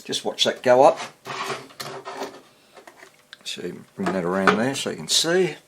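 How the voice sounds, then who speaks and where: A man with a husky voice giving a casual hands-on repair demonstration, half muttering to himself as he works. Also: fairly low pitch, big pitch swings, measured pace, background noise. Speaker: hobbyist radio repairer.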